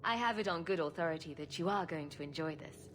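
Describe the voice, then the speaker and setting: An actress playing a confident, flippant young adventurer in a fantasy video game, with a dry, sarcastic, teasing manner. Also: female voice, deep voice, fast, a little hiss or hum, lightly acted. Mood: playful. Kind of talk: dramatic video game acting.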